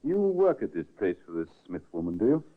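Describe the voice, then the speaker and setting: An actor in a 1950s radio drama playing a brisk, probing man who works as a registrar. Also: on the low side, sing-song. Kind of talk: 1940s radio drama acting. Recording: tinny old radio broadcast.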